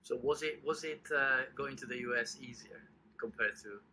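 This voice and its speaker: A man's voice: mid-range voice, moderate pace, bright, forceful tone, slight background noise, low-quality recording. A man hosting a podcast, asking long, meandering questions full of repeats and "you know". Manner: rambling questions, repeated words. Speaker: podcast host. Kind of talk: interview questions.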